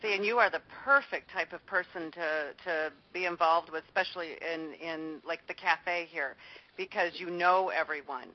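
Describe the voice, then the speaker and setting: A woman's voice: lowish voice, moderate pace. A woman hosting a casual chat, rambling with frequent fillers. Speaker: host. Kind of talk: casual conversation. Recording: dull lo-fi audio.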